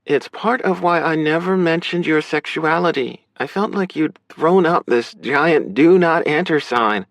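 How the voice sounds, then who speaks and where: A man's voice: on the high side, a little slow, no echo, voice pushed up. A man voicing a caring, frank adult big sister in an audiobook, speaking with earnest, reassuring emotion. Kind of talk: audiobook character dialogue.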